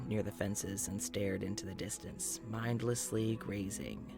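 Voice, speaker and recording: A man's voice: on the low side, natural voice. A man playing a weary, rambling doctor in an audio-drama journal, musing aloud in a hesitant, self-conscious way. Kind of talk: intimate audio-journal monologue in a fiction podcast.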